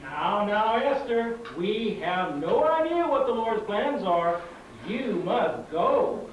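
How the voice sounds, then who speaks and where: Male performer as steadfast puppet uncle, devout, high voice, dull echoing recording. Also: very animated, takes it slowly, exaggerated voice. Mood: gently firm. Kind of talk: amateur puppet show acting. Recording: echoey hall, distant dull mic, faint noise.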